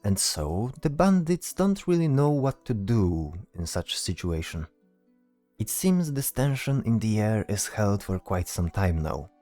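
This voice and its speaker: Low, mellow male fantasy narrator. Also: big pitch swings, measured pace, soft background music. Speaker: narrator, storyteller. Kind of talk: scripted fantasy story narration.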